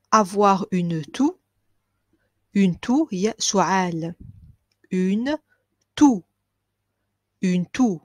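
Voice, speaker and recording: A woman teaching a language lesson. She repeats each phrase slowly and clearly, then explains it quickly. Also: medium pitch, no echo, studio quality. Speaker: French teacher.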